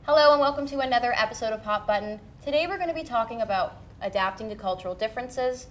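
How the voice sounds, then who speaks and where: A woman's voice: fairly high pitch, fast, faint music behind. A young woman from western Canada hosting a casual TV studio chat, upbeat and asking questions. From British Columbia, Canada. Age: young adult. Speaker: host. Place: small TV studio.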